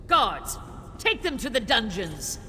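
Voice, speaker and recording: A woman's voice: a little high, big pitch swings, takes it slowly, pushed and bright, slight background noise, full character voice. An actress playing a haughty, menacing usurper queen in a fantasy video game, speaking with imperious threat. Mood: commanding. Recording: long echoing hall reverb.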